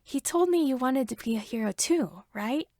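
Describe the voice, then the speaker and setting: A woman voicing a kind, gently reassuring mother in a story reading. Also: high-pitched, animated, moderate pace, dry recording, clean studio sound. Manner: kindly.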